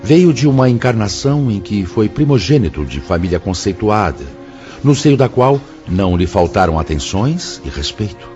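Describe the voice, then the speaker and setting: A Brazilian actor playing a solemn, kindly spirit mentor in a radio drama, speaking in a measured, formal, sermon-like way. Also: male voice, fairly low pitch, big pitch swings, a little mellow. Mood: solemn, exhorting. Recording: dull lo-fi audio with background music.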